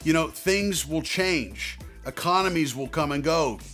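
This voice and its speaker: A man with a slightly high voice talks directly to the viewer as a financial advisor hosting his own video. His delivery is conversational yet emphatic, with deliberately placed phrases. Faint music plays behind him. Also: lively intonation, bright, forceful tone. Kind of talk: direct-to-viewer advice talk.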